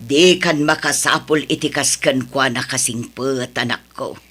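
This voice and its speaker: Male actor doing an old mother, husky, sharp-edged, apologetic, fussing, Ilocano accent, radio drama. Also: medium pitch, a little slow. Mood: reproachful. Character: apologetic, fussing elderly mother of a grown daughter.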